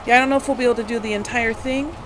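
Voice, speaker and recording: A man's voice: extremely high pitch, steady tone, rapid-fire, noisy background. A man giving casual gameplay commentary, thinking aloud as he hosts a let's play. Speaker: gamer, let's play host.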